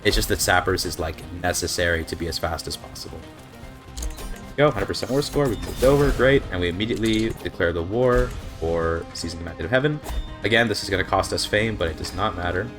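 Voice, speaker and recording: A man's voice: sits low, lively intonation, fairly quick, music in the background. A young man with a slightly scratchy throat, casually explaining his strategy while playing a game, thinking aloud with fillers. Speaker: speedrunner commentating gameplay. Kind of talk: casual gameplay commentary. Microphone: desk microphone.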